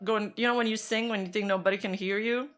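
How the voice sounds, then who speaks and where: A woman with a somewhat rough, hoarse voice, chatting informally as she hosts a livestream. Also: mid-range voice, steady tone, fast, a bit bright, faint music behind. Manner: informal, giggly. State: dry, hoarse voice. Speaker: livestream host. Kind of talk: casual chat to livestream viewers.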